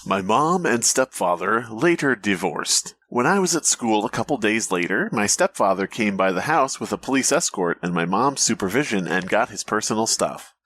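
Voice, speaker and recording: A man's voice: fairly low pitch, animated, moderate pace, few pauses, fairly bright tone. A man co-hosting a podcast with casual, wry, joking banter and some reading aloud. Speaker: podcast co-host.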